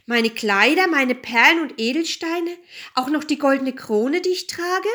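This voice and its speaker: A woman's voice: high voice, unhurried, pushed and bright, voice pushed up. A woman playing a spoiled, fretful, haughty young princess in a fairy tale. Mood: offering.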